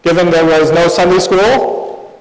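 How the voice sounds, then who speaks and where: A man's voice: high voice, fairly even intonation, measured pace. Middle-aged male preacher in an echoing hall. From born in Taiwan, grew up overseas. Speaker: guest preacher. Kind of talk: sermon given in short phrases for interpretation. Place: large reverberant church hall. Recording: echoey hall with long reverb.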